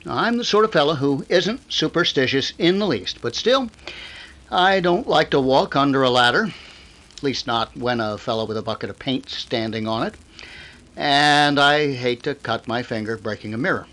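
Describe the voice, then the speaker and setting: An actor playing a nervous, wry radio show director with a halting manner, in a 1930s-style radio drama. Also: male voice, medium pitch, expressive, fairly bright tone, close to an everyday voice, low-quality recording. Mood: uneasy, wry. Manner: hesitant, throat-clearing, wry.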